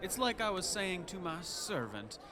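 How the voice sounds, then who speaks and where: An actor playing a haughty, disdainful elf in a fantasy audio drama, with a pompous, formal manner. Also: male voice, sits high, very animated, normal speed, bright, forceful tone, full character voice. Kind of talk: audio fiction acting.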